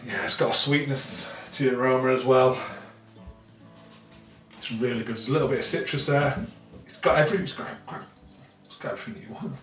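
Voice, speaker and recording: A man's voice: medium pitch, brisk, pauses often, a bit bright. Middle-aged man, chatty beer review, rambling with fillers, roomy kitchen, a bit off-mic, faint music behind. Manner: rambling, repeats himself, many fillers. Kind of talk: casual beer tasting review. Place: home kitchen, indoors. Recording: roomy, slightly distant sound with faint music.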